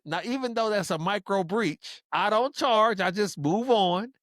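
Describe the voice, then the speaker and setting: A man's voice: high-pitched, a little slow, fairly bright tone, dry recording, studio quality. A man co-hosting a casual relationship discussion, making his points emphatically and repeating phrases. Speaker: podcast co-host. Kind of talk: casual relationship debate chat.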